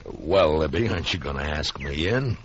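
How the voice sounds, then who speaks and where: A steady, weary frontier lawman acted by a man in a radio western. His American voice is deep yet animated, plain-spoken and coaxing. The sound is lo-fi and a little noisy. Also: moderate pace, slightly rough, heavily acted. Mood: gentle. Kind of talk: old-time radio western acting. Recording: dull lo-fi recording with background noise.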